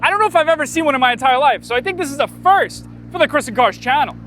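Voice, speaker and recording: High-voiced excitable car vlogger. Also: male voice, racing, slight background noise. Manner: enthusiastic, exclaiming over cars. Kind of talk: excited car-spotting vlog.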